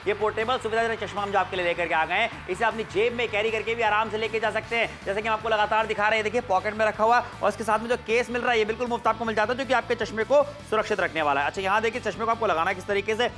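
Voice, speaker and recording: A man hosting a teleshopping show, pushing viewers to call and order in a persuasive, insistent sales pitch. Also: fairly even intonation, very fast, few pauses, fairly bright tone. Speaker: TV shopping host. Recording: studio with background music.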